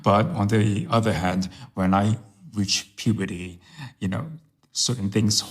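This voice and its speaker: A man's voice: lowish voice, steady tone, unhurried. A middle-aged man from Hong Kong raised in New York, whose first language is Chinese, chatting as a podcast guest and repeating phrases for emphasis. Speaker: podcast guest, fragrance brand director. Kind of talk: casual interview chat.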